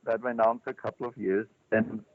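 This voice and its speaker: An older man, a Zen teacher telling his life story as an interview guest, halting and thoughtful with many restarts. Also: lowish voice, flat delivery, no echo. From Switzerland. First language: German. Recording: dry, tinny phone-line sound.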